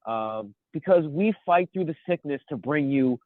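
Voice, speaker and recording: A man in his thirties with a cold, chatting as a podcast co-host and rambling excitedly through his stories. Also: a little high, moderate pace, no room sound. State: sniffly from a cold. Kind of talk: casual chat. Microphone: phone. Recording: tinny phone line.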